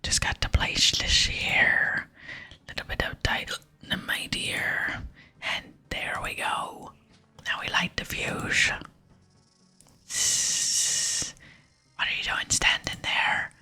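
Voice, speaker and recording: A person voicing a deliberate, folksy explosives expert from a video game in a whisper. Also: bright, forceful tone, faint music behind. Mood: busy, urgent.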